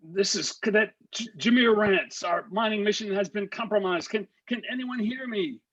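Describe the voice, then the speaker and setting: A man playing a desperate, earnest young space cadet in a screenplay table read, with a husky voice. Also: sits high, normal speed, a bit bright, muffled recording. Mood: urgent distress.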